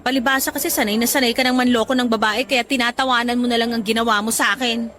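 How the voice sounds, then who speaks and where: An actress plays a bitter middle-aged woman in a radio drama. Her voice is high and piercingly bright, snapping out curt, sarcastic retorts. Faint music runs underneath. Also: steady tone, fast talker, lightly acted. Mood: irritated, scornful. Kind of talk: Tagalog radio drama acting. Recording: music bed behind voice.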